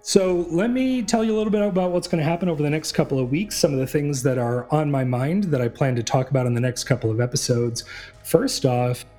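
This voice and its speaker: A man's voice: a little high, fairly quick, a little mellow, soft background music. A young adult man from New England hosting a solo sports podcast, talking in a rambling, chatty way with many fillers and restarts. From New England, USA. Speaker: podcast host.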